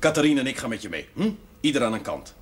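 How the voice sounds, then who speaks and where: An actor playing a brisk, encouraging small-town man in a television drama. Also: mid-range voice, big pitch swings, husky. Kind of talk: naturalistic television drama acting.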